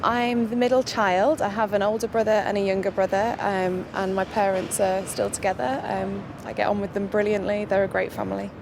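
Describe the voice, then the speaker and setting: A woman's voice: medium pitch, flat delivery, brisk, hardly any pauses. A woman casually answering an interviewer's questions outdoors in a city. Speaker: street interviewee. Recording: clear outdoor urban traffic noise.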